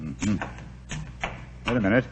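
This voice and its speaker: An actor playing a brisk, cool-headed detective, commanding and dryly amused, in 1940s radio acting. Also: male voice, on the low side, lively intonation. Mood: keen. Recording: dull old radio recording with hiss.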